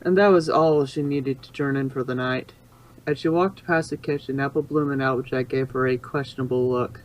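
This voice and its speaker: A man's voice: mid-range voice, steady tone, moderate pace, slight background noise, poor audio. Man reading a story, stumbling occasionally. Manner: stumbles and re-reads phrases. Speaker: fan fiction narrator. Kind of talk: amateur storybook narration.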